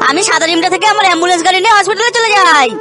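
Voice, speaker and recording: A woman's voice: very high voice, rapid-fire. A woman voicing young characters in a kids' cartoon, speaking simply and emphatically. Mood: chirpy. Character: chirpy children and mothers in a kids' cartoon. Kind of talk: cartoon dialogue. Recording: music behind the voice. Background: cartoon music.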